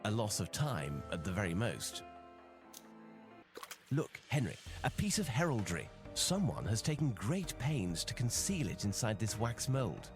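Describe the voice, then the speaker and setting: An actor playing a confident, wry gentleman detective with a formal, assured manner in a video game. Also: fairly low pitch, sing-song, music in the background. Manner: formal, brisk, assured, lightly teasing. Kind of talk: video game dialogue acting.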